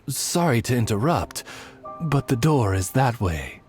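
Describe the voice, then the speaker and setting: A man playing a calm, courteous companion in an anime-style video game, with a measured, polite and dry delivery. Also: medium pitch, soft background music.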